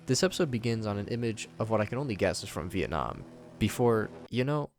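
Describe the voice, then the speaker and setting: A man's voice: on the low side, very animated, brisk, gravelly, slight background noise. A man hosting a video recap, narrating scripted commentary on horror episodes.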